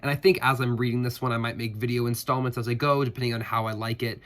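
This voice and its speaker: A man's voice: mid-range voice, fairly even intonation, fast, a bit bright. A man with a stuffed-up voice from a head cold, chatting casually about his reading with many fillers and restarts. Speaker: booktuber.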